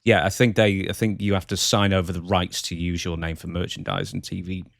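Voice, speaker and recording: A man co-hosting a podcast, chatting casually and prompting his guest with quick, amused questions. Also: low voice, flat delivery, gravelly, dry recording, clean studio sound. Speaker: podcast co-host.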